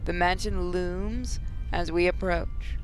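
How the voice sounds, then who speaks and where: A woman's voice: lowish voice, fairly even intonation, slow, soft background music. A woman reading a visual novel aloud as narrator, now and then stumbling and rereading a phrase.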